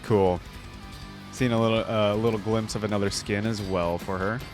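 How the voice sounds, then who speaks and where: A man's voice: lowish voice, fairly even intonation, moderate pace. A man giving casual reaction commentary as a channel host, informal and thinking aloud. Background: music from the video being watched.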